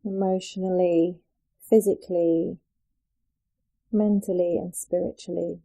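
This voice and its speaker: A woman guiding a yoga nidra relaxation as a meditation teacher, speaking in a soothing, gentle, unhurried way with pauses. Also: lowish voice, steady tone, creaky, rough recording.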